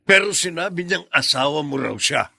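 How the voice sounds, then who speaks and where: Jealous husband, Filipino radio drama: rough tense voice, suspicious but yielding, close dry sound. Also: male voice, on the high side, animated, moderate pace, pushed and bright, no echo, heavily acted. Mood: suspicious, hurt.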